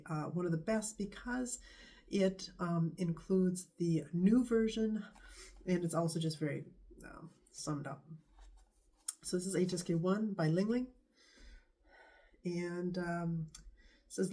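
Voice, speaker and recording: A stuffy-nosed talks to the camera in a loose, meandering vlog, stopping often to find the next thought. The voice sits in a mellow, darker register, muffled by a head cold. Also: mid-range voice. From United States. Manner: rambling, hesitant, many pauses. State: stuffy nose from a bad cold. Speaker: vlogger. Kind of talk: casual talking-to-camera vlog.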